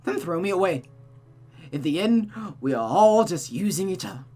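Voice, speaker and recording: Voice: medium pitch, lively intonation, normal speed, warm tone, voice pushed up, close to an everyday voice. A performer voicing a sadistic, deranged man, cynical and mocking, with taunting, theatrical delivery in a visual novel. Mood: mocking, self-abasing.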